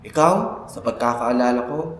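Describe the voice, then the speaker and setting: A man voicing a calm, confident young law graduate who answers his rival's boasting. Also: normal speed, close to an everyday voice. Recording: echoey hall with faint rumble.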